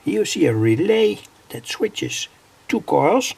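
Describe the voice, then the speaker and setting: Slow, gravelly Dutch-accented man. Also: high-pitched, big pitch swings, a little mellow. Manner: halting, deliberate, pausing mid-sentence. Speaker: electronics hobbyist presenter. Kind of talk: unscripted demonstration of a homemade device.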